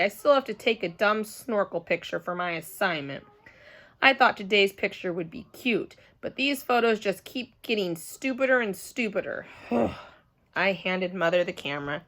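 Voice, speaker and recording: A woman reading a children's book aloud as a storyteller, expressive and dramatic, telling it as the child narrator. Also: mid-range voice.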